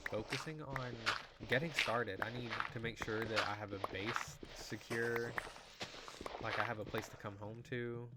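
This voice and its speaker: A man with a nasal voice, just getting over an illness, chatting excitably through casual live gameplay commentary as a game streamer. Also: medium pitch, normal speed. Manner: chatty and excitable, lots of "like" and "you know". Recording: loud background noise.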